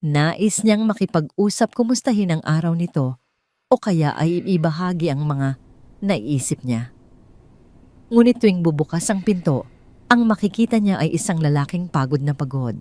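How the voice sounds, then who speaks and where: A Filipino woman narrates a scripted audio drama story. Her voice sits low and has a soft, dark tone. She phrases each sentence in a measured, clear storytelling manner. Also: lively intonation.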